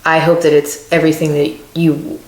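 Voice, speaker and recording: Woman, low pitch, chatty co-host, encouraging, roomy echo. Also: little pitch movement, a little fast, darkish tone. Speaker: podcast co-host. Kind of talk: casual chat.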